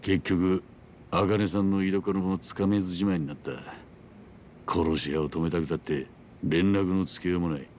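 A man playing a grave, world-weary middle-aged yakuza in a video game drama, speaking in measured, rough gangster phrasing. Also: low-pitched, steady tone, darkish tone, a little hiss or hum, lightly acted. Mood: grave, matter-of-fact. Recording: music behind the voice.

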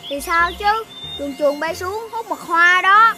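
Greedy chubby cartoon kid animal, really high and slow, boastful, southern vietnamese accent. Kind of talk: Vietnamese children's cartoon dubbing. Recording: music behind the voice.

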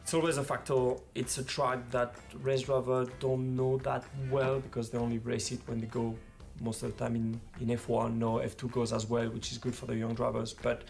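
A man answers interview questions in a measured, even-toned way, his voice gravelly with fry. His pitch barely moves. Faint music sits underneath.

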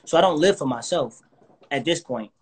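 A man's voice: on the high side, lively intonation, moderate pace, slight background noise. A man in his thirties talking in a confident, motivational, somewhat preachy way as a guest in a casual interview, speaking from a living room over a phone or webcam video call. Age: 30s. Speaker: guest artist. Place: indoors, living room with couch.

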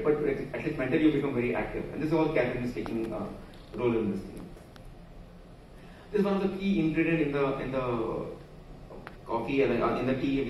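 A man's voice: mid-range voice, darkish tone, slight background noise. A man with an Indian English accent giving a conference presentation at a podium, talking loosely with frequent restarts and fillers. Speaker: conference speaker. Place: large conference hall. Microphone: podium microphone, recorded from far camera. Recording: echoey hall from far off.

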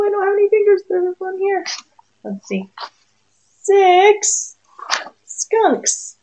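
Very slow, expressive woman reading to children. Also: a little high, rough recording. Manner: expressive, sing-song, addressing young children. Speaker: preschool teacher. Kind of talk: children's picture book read-aloud. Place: small room indoors.

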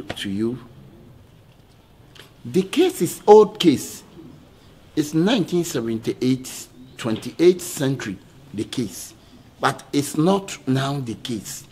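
A Ghanaian man playing a flustered, pleading lawyer in a comedy skit, halting and repeating himself deferentially. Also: mid-range voice, a little mellow, a little hiss or hum. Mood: explaining. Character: flustered, pleading male lawyer addressing a judge.

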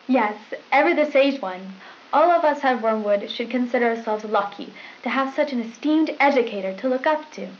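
A young woman plays a perky, formal student newsreader with a highish voice. She delivers a scripted school newscast. It sounds muffled, with faint tape hiss. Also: lively intonation, moderate pace, natural voice. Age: young adult. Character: politely perky, formal young female school news anchor. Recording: dull hissy VHS broadcast sound.

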